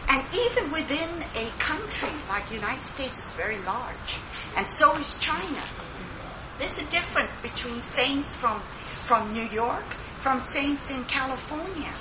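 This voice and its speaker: A woman giving an earnest sharing at a church meeting, addressing her listeners as saints. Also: high voice. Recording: noisy recording.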